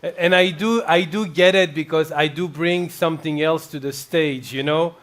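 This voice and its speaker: A man from France with a French accent, doing stand-up with dry setups and deadpan punchlines. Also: on the high side, moderate pace. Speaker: comedian and violinist. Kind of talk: stand-up comedy.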